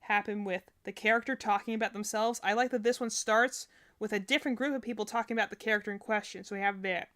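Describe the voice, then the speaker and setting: A woman's voice: mid-range voice, steady tone, fast, a bit bright. A woman giving casual, gushing live-stream reactions to game trailers. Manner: enthusiastic, gushing.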